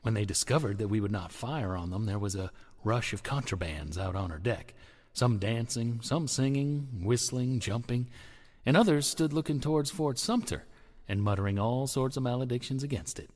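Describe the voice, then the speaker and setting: A man's voice: medium pitch, moderate pace, darkish tone, close to an everyday voice. A man voicing a Civil War sailor who gives a formal, old-fashioned eyewitness account as a dramatic reading. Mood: recounting, wondering. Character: Civil War sailor recounting what he witnessed. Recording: long reverb on the voice.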